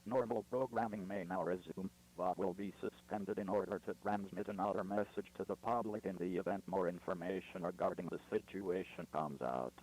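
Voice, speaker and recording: A man's voice: sits low, normal speed, few pauses, darkish tone, a little hiss or hum, natural voice, rough recording. A man playing a stern, impersonal emergency alert announcer, giving formal scripted instructions. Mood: stern, official.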